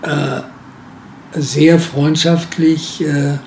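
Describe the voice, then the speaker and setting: A man's voice: fairly high pitch, little pitch movement, very slow, darkish tone, roomy sound, slight background noise. An older man, a former museum director, recalling his past work in an interview, hesitant and full of filler sounds. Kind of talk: interview recollection.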